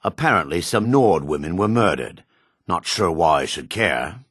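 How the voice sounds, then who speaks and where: An actor playing a jaded, sardonic male dark elf in a fantasy video game, with a husky voice. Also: low voice, animated, normal speed, dry recording, clean studio sound, heavily acted. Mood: bitter, sardonic. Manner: sardonic, disdainful. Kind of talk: video game character voice acting.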